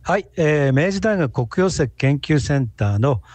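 A man's voice: fairly high pitch, lively intonation, unhurried, a little mellow, no echo. A man speaking as a guest researcher, giving conversational answers in an interview.

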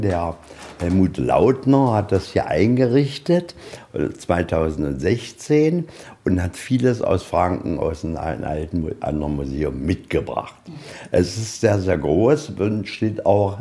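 A man's voice: low voice, warm tone. A man working as a museum guide, explaining in a plain-spoken, informal way and answering his own questions. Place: indoors, museum anteroom.

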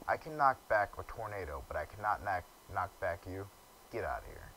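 A young man with a low, deep voice giving casual let's play commentary, grumbling and stumbling over quest text he reads aloud. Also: sing-song, brisk, gravelly, pushed and bright, a little hiss or hum, rough recording. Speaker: gamer.

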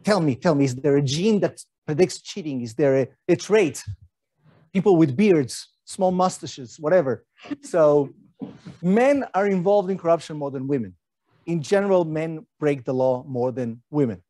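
Man, middle-aged, slightly high voice, mellow tone, dry close sound, chatty lecturer. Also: expressive, normal speed, dry recording. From Argentina. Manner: conversational, asks rhetorical questions, frequent fillers. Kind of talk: academic lecture talk.

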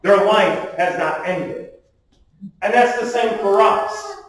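Heard from a distance in a very reverberant church room, a man delivers a sermon. His voice sits high for a male speaker. He preaches emphatically, leaning on rhetorical questions and calls for agreement. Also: slow. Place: small church sanctuary, at a wooden pulpit indoors. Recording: distant, very echoey room sound.

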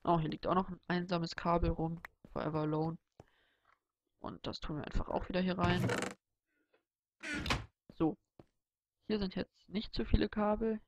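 Young man with a gravelly, slightly stuffy voice. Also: high-pitched, moderate pace, pauses often, muffled recording, a little hiss or hum. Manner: relaxed, rambling, thinking aloud. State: has a cold. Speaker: Let's Play host. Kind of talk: casual gameplay tutorial commentary.

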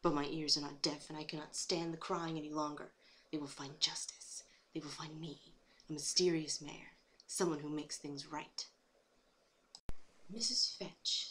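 A brooding cartoon pony vigilante, acted by a woman, speaks solemnly and dramatically in a low voice. There is faint noise on the home recording. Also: a bit bright, rough recording.

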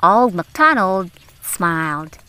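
Very slow, animated woman storyteller. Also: fairly low pitch, clean tone, no echo. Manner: deliberate, sing-song, addressing children directly. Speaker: teacher reading a storybook aloud for children. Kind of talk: slow, animated read-aloud for young children. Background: music.

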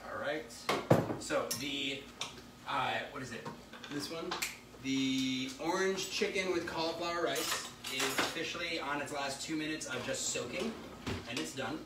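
A man's voice: fairly high pitch, unhurried. A playful man in his thirties banters casually as he cooks. His voice comes from across a roomy, echoing kitchen, with heavy noise around it. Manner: playful, teasing. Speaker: co-host of a home cooking vlog. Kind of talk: casual bantering chat while cooking. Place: home kitchen, indoors. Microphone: camera across the room. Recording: roomy, noisy kitchen, distant mic.

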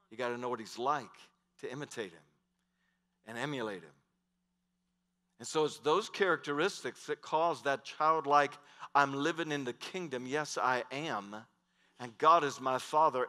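Middle-aged male pastor, headset mic, emphatic sermon delivery with loud and hushed swings, bright tone, big hall reverb. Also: medium pitch. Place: large church stage.

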